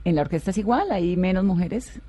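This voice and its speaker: Woman radio host, lowish voice, conversational interviewing. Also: animated, brisk, dark tone, breathy edge.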